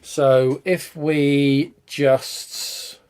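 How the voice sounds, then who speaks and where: Man, stuffy from a cold, rambling live stream host, self-deprecating, thinks out loud. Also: on the high side, steady tone, very slow, smooth. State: congested from a cold, coughing. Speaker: retro computer channel host. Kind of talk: casual live stream chat.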